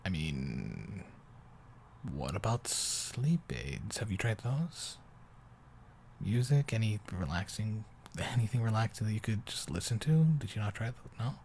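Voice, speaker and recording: A man playing an affectionate young boyfriend in an improvised bedtime roleplay, talking softly and haltingly, often sinking toward a whisper. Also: on the low side, big pitch swings, a little hiss or hum. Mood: gentle, concerned. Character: affectionate, doting young boyfriend, soft and rambling. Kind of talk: improvised intimate roleplay audio, sleep aid.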